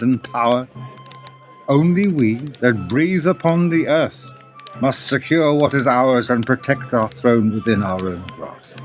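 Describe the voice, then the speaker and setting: An actor playing a proud, solemn medieval lord and father in a radio play, speaking in a grand, declamatory manner. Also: mid-range voice. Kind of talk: theatrical period radio drama in archaic English.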